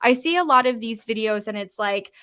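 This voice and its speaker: A woman's voice: a little high, expressive, a little fast, fairly bright tone, clean tone. A woman with a mild Canadian West Coast accent, coaching on a live stream in a casual, informal way, with uptalk and lots of fillers. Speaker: confidence coach. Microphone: live-stream mic.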